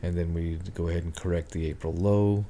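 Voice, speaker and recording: A man walking through market charts on a screen share, thinking aloud with many ums and a hoarse voice. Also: sits low, steady tone, a little fast, dark tone, a little hiss or hum. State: hoarse, clearing his throat. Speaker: market analyst.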